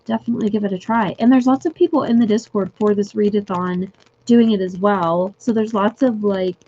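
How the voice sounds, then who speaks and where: A young woman chatting casually with viewers on a livestream from home, giggly and full of fillers, her voice going a little hoarse. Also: mid-range voice, warm tone, muffled recording. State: losing her voice. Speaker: livestream host. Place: home room.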